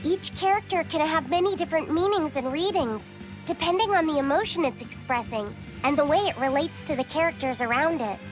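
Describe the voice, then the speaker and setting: A woman voicing a gentle, studious young boy in dubbed video game dialogue, earnest in manner. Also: high voice, a little fast, music in the background, close to an everyday voice, low-quality recording. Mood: earnest, explaining.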